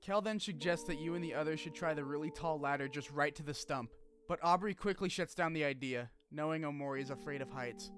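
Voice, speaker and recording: A man hosting a video essay, reading a scripted game story recap with informal, animated asides and some swearing. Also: a little high, brisk. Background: music under the voice.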